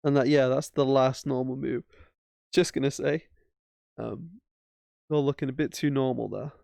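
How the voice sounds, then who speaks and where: A man's voice: medium pitch, steady tone, normal speed, creaky, a little mellow, no room sound. A man casually commentating his own chess games as he plays, thinking aloud with self-mocking asides. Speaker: chess streamer.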